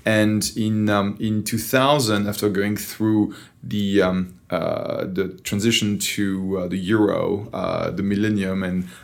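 Gravelly middle-aged French man. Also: lowish voice, little pitch movement, takes it slowly. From France. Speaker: interview guest, venture investor. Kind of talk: storytelling in an interview.

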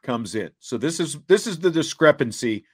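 A man hosting a sports talk show, reading and commenting in a deliberate way, laying out points step by step. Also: on the high side, lively intonation, husky.

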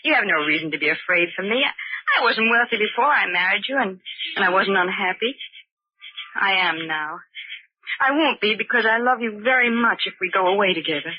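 An actress playing an earnest, loving, long-suffering young wife, tender but firm and pleading in an old radio drama. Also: female voice, mid-range voice, animated, brisk, breathy edge. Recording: muffled old radio broadcast recording.

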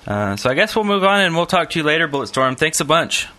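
A man's voice: on the high side, sing-song, fast talker, sharp tone. A man hosting a podcast in a casual, easygoing, rambling way. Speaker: podcast host. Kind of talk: casual chat and loose ad reads.

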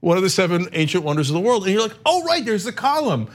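Man, podcast host, casual chat, animated storyteller, slightly higher voice. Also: quick pace. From Turkey, raised in New Jersey. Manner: animated storyteller, acts out quoted voices, laughs often.

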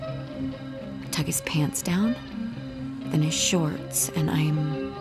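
An earnest young artist troubled by visions in an audio drama. Her voice sits slightly low, halting and intense, over loud music. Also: full character voice. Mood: haunted. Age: young adult.

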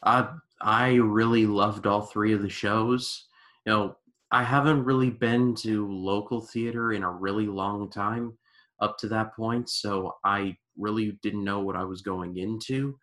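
A man's voice: lowish voice, fairly even intonation, measured pace, a bit bright. A man guesting on a casual podcast, enthusiastic and repetitive, full of "like" fillers. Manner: enthusiastic, repeats himself, many "like" fillers.

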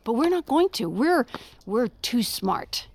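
A woman playing an anxious, coaxing, protective mother in an audio drama, often dropping to a hushed tone. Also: fairly high pitch, very animated, slow, a little hiss or hum, full character voice. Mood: determined.

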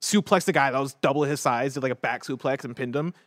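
A man co-hosting, chatting casually and telling excited, rambling stories full of fillers. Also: mid-range voice, quick pace, no echo, clean studio sound. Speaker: co-host. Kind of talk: casual chat.

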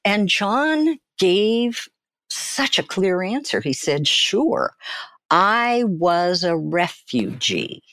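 An older woman shares personal stories as a guest in an interview. Her pace is slow and deliberate, with emphatic pauses and a highly animated rise and fall in pitch. The recording is close and dry. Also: dry recording. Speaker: guest, therapist and author.

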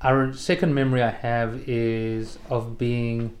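A man of about fifty with a South African accent, reflecting as an interview guest and pausing often mid-thought. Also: medium pitch, level tone. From South Africa, born in Israel. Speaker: podcast guest, investor.

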